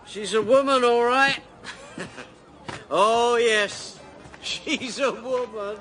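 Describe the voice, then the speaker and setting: Brash, sharp-voiced volatile man. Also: extremely high pitch, drawn-out words, soft background music, exaggerated voice. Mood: drunken gloating. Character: brash, drunken, volatile male election candidate and ex-army major. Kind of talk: radio drama acting.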